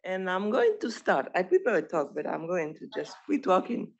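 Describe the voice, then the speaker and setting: A middle-aged physician from Haiti with a Haitian accent, giving an informal, halting presentation full of restarts. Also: mid-range voice, very animated, creaky, muffled recording. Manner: halting, with frequent restarts and self-corrections. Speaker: physician presenting a residency program. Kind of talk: informal presentation at an online showcase.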